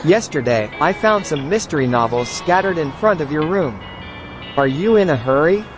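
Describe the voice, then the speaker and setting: Man playing a high-pitched, kindly male mentor. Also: expressive, moderate pace, lightly acted. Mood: calm. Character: kindly, calm male mentor in a mystery novel. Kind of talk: scripted audio novel dialogue. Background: music.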